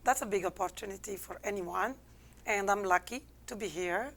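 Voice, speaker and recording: A woman's voice: medium pitch, moderate pace. Algerian-accented woman telling her story. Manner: candid, reflective, non-native English phrasing. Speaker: interview guest. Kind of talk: personal storytelling in an interview.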